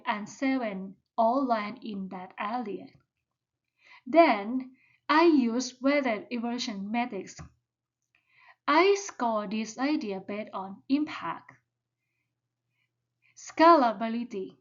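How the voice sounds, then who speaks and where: A woman's voice: a little high, highs cut off. A woman whose first language is Thai, giving a careful step-by-step presentation as a student. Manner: slow, careful, step-by-step delivery.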